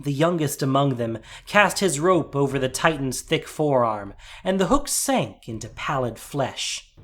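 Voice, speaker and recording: A man giving a scripted, dramatic fantasy narration as storyteller, measured and suspenseful. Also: on the high side. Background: music.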